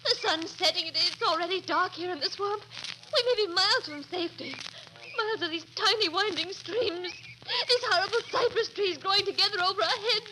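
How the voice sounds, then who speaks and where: A woman's voice: very high-pitched, a little fast. An actress playing a hysterical young woman, an accomplice in crime, with fretful, melodramatic delivery in 1940s radio style. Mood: fearful. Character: frightened, hysterical young wife turned accomplice in murder. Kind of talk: 1940s radio drama acting. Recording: noisy old 1940s radio recording.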